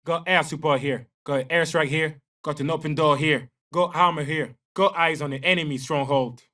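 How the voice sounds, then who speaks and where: An actor with a French accent playing a confident young special forces soldier in a military shooter game, giving terse, clipped callouts. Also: male voice, on the high side, fairly even intonation, normal speed, pushed and bright, recorded from a distance. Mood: focused. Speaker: video game operator.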